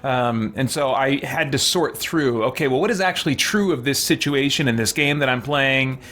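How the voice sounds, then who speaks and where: A man's voice: mid-range voice, normal speed, fairly bright tone. A man hosting a game let's-play, chatting casually and reflectively to viewers, thinking aloud in hesitant, looping, qualified sentences. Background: background music.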